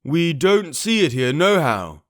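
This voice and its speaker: A rough pirate shouting out a window in salty sailor's talk, raised a little above a plain voice. A man puts him on in a dry, close reading. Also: fairly high pitch, very animated, measured pace, no echo, voice pushed up. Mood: alarmed. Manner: gruff sailor's slang, calling out. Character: rough male pirate searching an inn.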